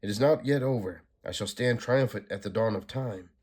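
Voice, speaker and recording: A man's voice: lowish voice, moderate pace, darkish tone, close to an everyday voice. A man reading a comic aloud, voicing a cold, menacing cosmic villain with pompous, archaic threats.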